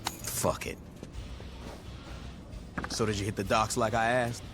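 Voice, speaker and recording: An actor playing a tough, impatient street gang boss in a video game, with a dry, blunt delivery. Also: male voice, lowish voice, fairly even intonation, takes it slowly, over loud music. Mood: dry, exasperated. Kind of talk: video game cutscene acting.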